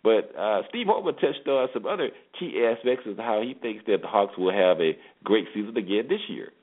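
A man with a very low-pitched voice hosts a sports show. He talks through recaps conversationally, pausing and repeating words, and sounds narrow and tinny, as if on a phone line. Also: animated.

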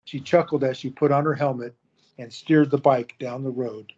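A man narrating an audio drama in a steady storytelling manner. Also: medium pitch, normal speed, breathy edge, faint music behind. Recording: dull lo-fi recording.

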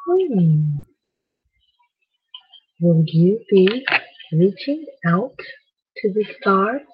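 A woman hosting a children's story time, addressing young listeners in a deliberate, sing-song, questioning way with a husky voice. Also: medium pitch, sing-song, background noise. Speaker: children's bedtime story host. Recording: dull, lo-fi recording.

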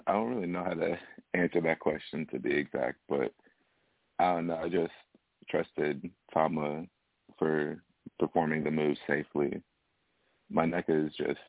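A young man from Alaska, a wrestling guest, answering questions in a soft-spoken, hesitant way with easy laughs. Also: extremely low pitch, creaky, no echo. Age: young adult. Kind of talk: casual interview over phone. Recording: tinny phone line.

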